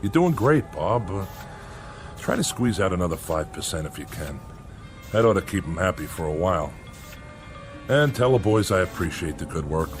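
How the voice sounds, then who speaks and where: Deep voiced factory manager in a radio play, laid back and placating, music behind him. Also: male voice, very animated, moderate pace, long pauses. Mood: placating, wry. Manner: casual, placating. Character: easygoing, conciliatory male factory plant manager. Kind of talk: radio drama dialogue.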